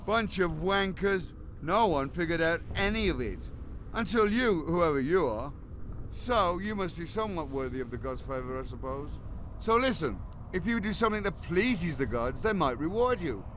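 Rambling cryptic guardian of metal, high-pitched. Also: male voice, animated, moderate pace, a bit bright, a little hiss or hum. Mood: cryptic, musing. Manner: rambling, theatrical, swearing freely. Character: cagey rambling old male guardian of metal secrets. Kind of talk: video game cutscene acting.

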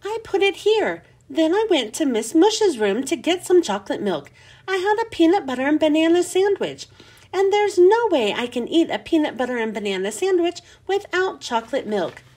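A woman voicing an earnest, trusting schoolgirl with excited, high exclamations in a storybook reading. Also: normal speed. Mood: upset.

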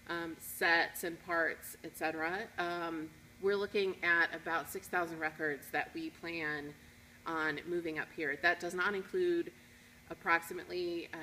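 A woman's voice: lowish voice, pushed and bright, slight background noise, rough recording. A young adult woman, a collections manager, explaining a project in an interview, recorded in a warehouse. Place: warehouse indoors.

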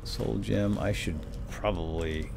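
A man's voice: fairly low pitch, takes it slowly, creaky, music in the background. A man giving lightly role-played commentary on a video game, muttering to himself with playful asides. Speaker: gamer commentator. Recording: game ambience noise behind voice. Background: video game cave ambience and effects.

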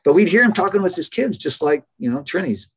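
An American man in his fifties, a professor interviewed on a video call from a small office, telling casual anecdotes in a halting, often amused way. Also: high-pitched, sing-song, a little hiss or hum. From United States. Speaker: interview guest, biology professor. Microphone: computer microphone on a video call.

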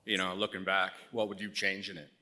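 Bright, lower-voiced man asking a question. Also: level tone, a little fast. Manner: casual, light-hearted questioning. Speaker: audience member asking a question.

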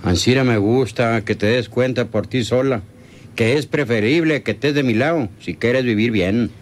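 A man's voice: medium pitch, moderate pace, a little hiss or hum, lightly acted. Menacing rural Mexican schemer played by a man. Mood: cold, coaxing. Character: scheming, menacing, stubborn hacienda henchman. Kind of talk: radionovela acting.

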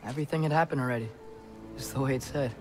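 A man's voice: medium pitch, very fast, close to an everyday voice. Man voicing a hesitant teenage boy, music behind. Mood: curious, hushed. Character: unsure, curious teenage boy of myth, earnest. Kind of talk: video game cutscene acting. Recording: game audio under clear music.

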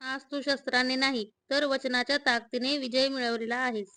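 Woman as a high-voiced, wise royal mother. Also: little pitch movement, moderate pace, sharp tone, no room sound. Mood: proud. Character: wise, dignified royal mother advising her young son.

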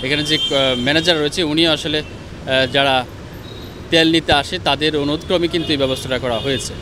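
Man, field reporter, high voice, hesitant, outdoor traffic noise, handheld mic. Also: fairly even intonation, fast talker. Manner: wordy, with frequent hesitations. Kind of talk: on-the-spot news report. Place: outdoors at a busy roadside petrol station.

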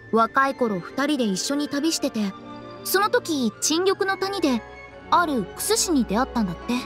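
An actress in a Japanese anime game playing a quirky, teasing young woman with a theatrical, sing-song way of telling things. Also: high-pitched. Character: playful, quirky young woman running a funeral parlor. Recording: faint music behind the voice.

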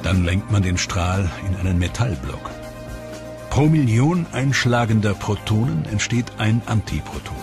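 A man giving measured, explanatory scripted voice-over narration for a documentary. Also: on the low side, animated. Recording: voice-over with music behind.

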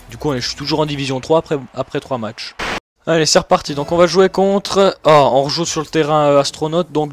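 A young man from Switzerland, commentating his own gameplay excitedly and laughing often. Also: medium pitch. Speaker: gaming YouTuber.

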